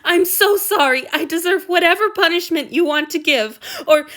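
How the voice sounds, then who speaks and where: A woman's voice: extremely high pitch, moderate pace, fairly bright tone, pitched above the performer's own voice. A woman voicing a distraught young male servant who grovels, wails apologies and breaks off in sniffles, in a storybook reading. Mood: wailing.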